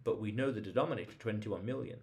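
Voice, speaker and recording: A man's voice: on the low side, quick pace, vocal fry, darkish tone. Emphatic male vlogger explaining ideas. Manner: emphatic, repeats phrases and poses rhetorical questions. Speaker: vlog host. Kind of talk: informal explainer monologue to viewers.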